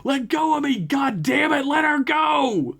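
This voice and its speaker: A man's voice: very high voice, animated, a little slow, a bit bright, raised voice, voice pushed up, heavily acted. A man voicing a frightened but defiant captive in a tabletop horror story, with a strained, sarcastic, foul-mouthed delivery. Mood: desperate screaming.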